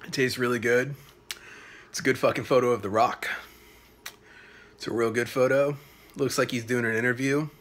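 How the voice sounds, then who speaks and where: A man's voice: mid-range voice, fairly even intonation, a bit bright. A young man in his late twenties vlogging to a phone camera in a small apartment, rambling casually, swearing and trailing off with fillers. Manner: loose, swearing, halting with fillers. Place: small apartment room indoors. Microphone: phone camera at arm's length.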